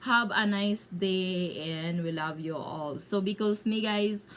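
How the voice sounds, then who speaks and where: A woman from the Philippines with a Bisaya Filipino accent, telling a personal story to viewers in a casual, halting way as she searches for words in limited English. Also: medium pitch, a bit bright, slight background noise. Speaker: video blogger.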